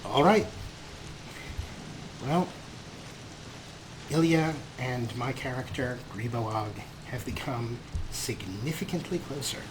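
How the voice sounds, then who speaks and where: A man's voice: mid-range voice, lively intonation, slow, pauses often. Playful male gamer over noisy ambience. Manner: playful, talks to game characters. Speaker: let's play host. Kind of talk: casual game commentary. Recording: clear game ambience noise.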